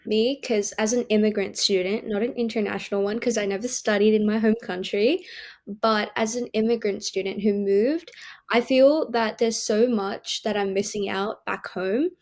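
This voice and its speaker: A young woman born in India who grew up in Australia, co-hosting a podcast in a relaxed, easy-laughing chat. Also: mid-range voice, moderate pace. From India, raised in Australia. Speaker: podcast co-host. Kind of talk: casual chat.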